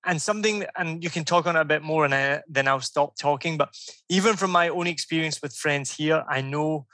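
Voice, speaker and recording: A man's voice: a little high, a little fast, pushed and bright, no room sound. A Scottish man hosting a podcast, talking eagerly and rambling, often breaking off to promise he will stop talking. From Scotland. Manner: enthusiastic, rambling, self-interrupting. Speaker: podcast host. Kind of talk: conversational interview.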